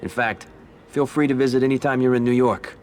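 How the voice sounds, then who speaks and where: An actor playing a smooth, ambitious Italian-American mafia boss in a video game, speaking in a measured, persuasive American voice. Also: male voice, medium pitch, steady tone, a little mellow, faint music behind, natural voice. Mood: smooth, ingratiating. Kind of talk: video game cutscene acting.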